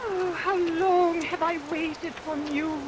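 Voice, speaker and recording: A vintage radio recording with obvious rain noise. a distressed young heroine in an extremely high voice. She is earnest and pleading in a melodramatic way. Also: fairly even intonation, slow.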